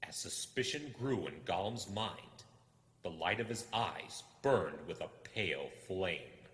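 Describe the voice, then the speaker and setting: A man's voice: sits low, expressive, slow pace, a bit bright. A man reading a suspenseful fantasy story aloud as a dramatic narrator. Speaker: storyteller, reader. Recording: echoing hall-like reverb.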